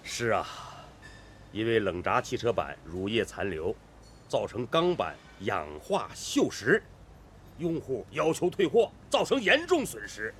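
An actor playing an authoritative, emphatic steel-factory director in a Chinese radio drama. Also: male voice, mid-range voice, very animated, measured pace, a little hiss or hum. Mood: grave, pressing.

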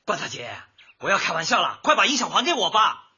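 A man's voice: sits high, very animated, fast, sharp tone, breathy edge, full character voice. A male actor playing an agitated, insistent young man in a comic radio drama, wheedling and accusing. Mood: pleading. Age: young adult. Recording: dull lo-fi audio.

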